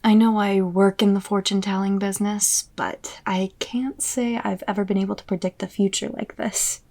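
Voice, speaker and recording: An actress playing a determined, loyal fortune-teller in an audio drama, speaking in a hushed, matter-of-fact, naturalistic way. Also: female voice, medium pitch, level tone, normal speed, natural voice. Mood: uneasy, curious. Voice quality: husky, breathy. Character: determined, loyal female fortune-teller joining a dangerous mission. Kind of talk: audio roleplay acting, naturalistic.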